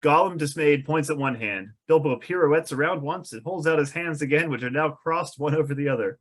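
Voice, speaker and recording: A man's voice: on the high side, a little fast, fairly bright tone. A man reading stage directions as narrator at a table read, descriptive and drily amused.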